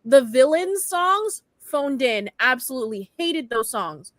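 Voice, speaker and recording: A woman's voice: high voice, very animated, a little slow, no echo. A woman in a casual group debate, insistently defending her opinions. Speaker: podcast panelist.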